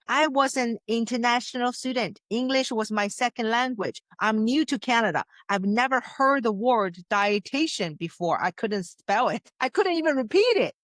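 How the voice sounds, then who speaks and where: A woman's voice: on the high side, lively intonation, normal speed, few pauses, no room sound. A woman from China, a success coach, telling her story with earnest emphasis and frequent restarts. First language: Chinese. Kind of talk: interview conversation.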